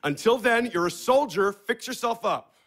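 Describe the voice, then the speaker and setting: Blunt, bossy man, high-pitched. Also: normal speed, heavily acted. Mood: blunt, commanding. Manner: brusque, scolding, deadpan. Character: blunt, bossy, sardonic man in a dysfunctional family. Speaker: actor in an audio play. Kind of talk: live-recorded stage comedy acting.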